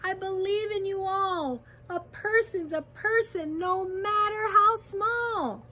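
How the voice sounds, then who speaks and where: Woman voicing a high-pitched, pleading storybook elephant. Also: sing-song, pushed and bright, exaggerated voice. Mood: urgent, encouraging. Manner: emphatic, drawn-out calling. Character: kind, pleading male elephant, anxious and loyal.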